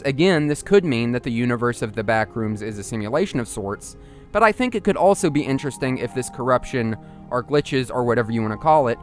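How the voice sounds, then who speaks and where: Man narrating a video essay over music. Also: mid-range voice. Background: music under the voice.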